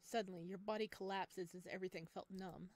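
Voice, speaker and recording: A woman reading a visual novel aloud as a let's player, expressive, with casual chatty reactions. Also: medium pitch, a little fast, no room sound. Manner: expressive, exclaims and chats between read passages. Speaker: video game let's player.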